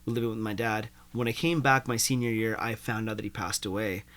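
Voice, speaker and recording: A young man from India, a guest telling his life story in a casual interview, animated and full of "like". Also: on the low side, brisk. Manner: animated, uses "like" often.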